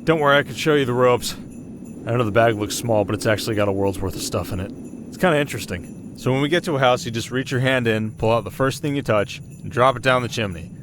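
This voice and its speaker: A man's voice: mid-range voice, fast, background noise, lightly acted. Man playing sheepish, cocky young winter spirit. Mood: eager, casual. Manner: sheepish, casual, defensive, earnest. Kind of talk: ASMR roleplay voice acting.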